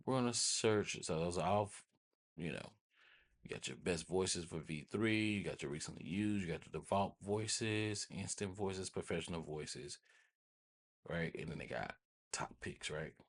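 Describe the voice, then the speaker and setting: An American man with a husky voice hosting a casual software tutorial, rambling informally and often checking in with listeners. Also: sits low, normal speed. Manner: informal, rambling, repeats check-in tags like "right?" and "okay?". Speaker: host of a tech tutorial channel.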